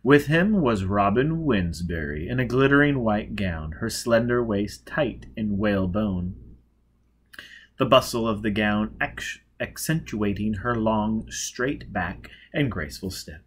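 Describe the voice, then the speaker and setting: A man's voice: on the low side, animated, a little slow, a little hiss or hum. A man in his thirties reading a novel aloud as narrator. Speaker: reader, narrator. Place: indoors, before a dark curtain.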